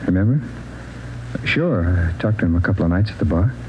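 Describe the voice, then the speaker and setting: An American actor playing a jittery, evasive addict drifter who stammers and repeats questions back, in a 1940s radio crime drama. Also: male voice, deep voice, expressive, fairly quick. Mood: defensive, rattled. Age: 30s. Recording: muffled, noisy old radio recording.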